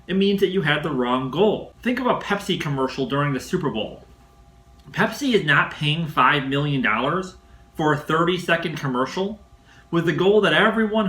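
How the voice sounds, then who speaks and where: A male sales coach with a bright, forceful voice pitched a little high delivers scripted instruction. He phrases things in a measured, emphatic and didactic way. The sound is noticeably roomy and echoing.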